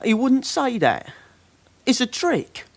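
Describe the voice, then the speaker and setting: An actor playing a frightened but stubborn teenage suspect in a radio drama, hesitant, deferential and protesting. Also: male voice, very high voice, wildly swooping pitch, slow, full character voice. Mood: upset, disbelieving.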